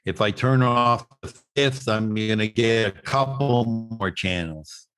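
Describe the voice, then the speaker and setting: A man giving a technical webinar explanation as an instructor, in a halting, stop-start way with repeated words. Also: fairly low pitch, fairly bright tone, smooth, faint music behind. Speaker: instructor presenting at an online summit.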